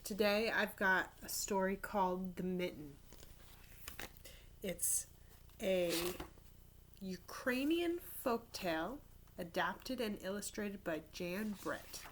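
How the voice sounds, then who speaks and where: A woman teacher reading a picture book aloud to children in an expressive storytelling style, with casual explanatory asides. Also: medium pitch, takes it slowly, long pauses, slight background noise. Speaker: third grade teacher reading to pupils.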